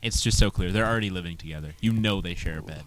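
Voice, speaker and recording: A young man co-hosting a podcast in casual chat, agreeable and quick to chime in with short replies. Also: low voice, a bit bright, slight background noise. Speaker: podcast co-host.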